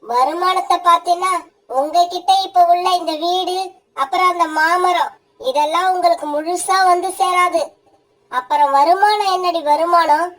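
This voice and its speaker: A woman's voice: extremely high pitch, a bit bright, rough recording. A woman voicing a bossy, scheming mother bird in a cartoon, with a scolding, coaxing manner.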